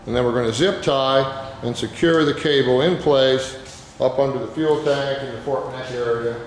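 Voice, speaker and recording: In a very echoey, reverberant space with some background noise, a man walks through a hands-on how-to. He is an instructor with a plain-spoken, informal manner, going step by step at a mid-range pitch. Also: steady tone, normal speed.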